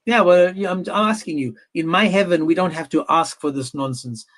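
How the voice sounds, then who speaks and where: A man's voice: a little high, brisk, a bit bright. A man hosting a livestream debate, goading his guest with pointed questions. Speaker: debate stream host.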